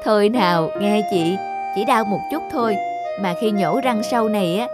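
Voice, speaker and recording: A woman playing a caring young older sister in a cartoon, speaking in a gentle, coaxing manner. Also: mid-range voice, moderate pace, loud music behind the voice, natural voice. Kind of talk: cartoon voice acting.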